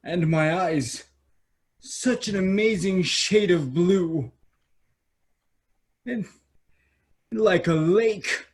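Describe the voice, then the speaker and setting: Guy doing a vain young prince, languid n pompous, highish voice, kids theater comedy. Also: very slow, long pauses. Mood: smugly self-admiring. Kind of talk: staged reading of children's theater comedy.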